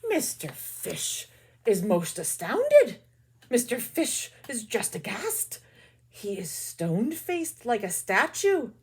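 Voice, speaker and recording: A woman's voice: fairly high pitch, wildly swooping pitch, takes it slowly, darkish tone, husky. A woman teacher reading a picture book aloud, adding chatty comments between the pages.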